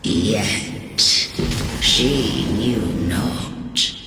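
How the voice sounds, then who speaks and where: An ancient male villain of godlike power, contemptuous and grand. He speaks slowly with a lively, sweeping pitch in archaic phrasing. The voice sounds distant and echoing, with loud music behind. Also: heavy background noise. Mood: ominous. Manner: slow, grandiose, archaic proclamations.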